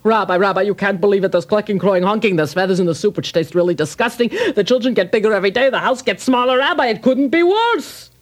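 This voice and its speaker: A woman storyteller voicing a poor, desperate man who pleads in a breathless, rushed way. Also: medium pitch, natural voice. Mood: frantic. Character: poor desperate middle-aged man, frantic complainer.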